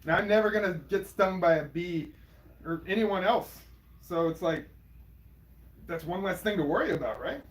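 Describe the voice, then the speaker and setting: A man's voice: high voice, normal speed, pauses often, lightly acted. A man playing a flippant, laid-back partner in a naturalistic audio drama, speaking in a casual, wry, dismissive way. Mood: defensive, casual. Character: laid-back, flippant partner, nervous about bee stings. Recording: faint background noise.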